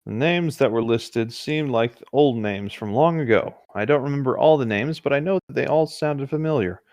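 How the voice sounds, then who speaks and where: A man's voice: medium pitch, moderate pace, darkish tone, dry recording, studio quality. A man reading horror stories aloud as a co-host, with casual chatty asides. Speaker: co-host reading video game creepypastas.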